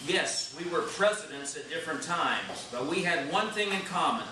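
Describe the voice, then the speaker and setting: A man's voice: fairly high pitch, animated, moderate pace, natural voice. A man playing a principled, idealistic general-president in a readers' theater stage reading. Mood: proud. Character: principled, idealistic George Washington, male general-president in heaven. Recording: echoey room, distant mic, noisy.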